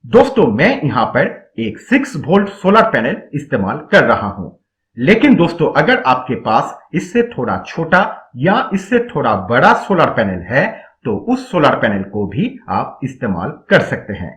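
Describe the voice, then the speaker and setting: A man's voice: medium pitch, big pitch swings, normal speed, fairly bright tone. A man narrating a step-by-step electronics tutorial, instructional and addressing viewers directly as friends.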